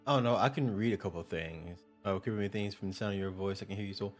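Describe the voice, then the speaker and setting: A young man streaming a game, chatting casually with viewers in a smooth, upbeat, joking way. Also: low voice, fairly even intonation, fast talker, faint music behind. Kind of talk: casual livestream chat.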